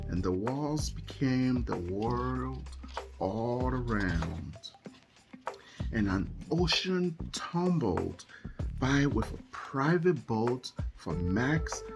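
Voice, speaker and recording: Very low-voiced woman reading a bedtime story. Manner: dramatic, with emphasized words and drawn-out phrases. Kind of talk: expressive bedtime picture-book reading. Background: music.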